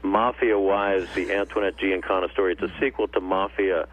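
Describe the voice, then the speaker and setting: A man's voice: deep voice, steady tone, normal speed. A man calling in to a radio show by phone, arguing in a dismissive, confrontational way. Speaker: radio call-in guest. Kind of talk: argumentative phone call. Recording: noisy phone call with hum.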